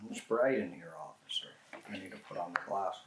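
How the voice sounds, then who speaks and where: A woman playing an evasive, weary male suspect in a soft-spoken ASMR roleplay, with a low gravelly voice and halting, guarded answers. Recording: roomy echo, loud noise, clinking dishes.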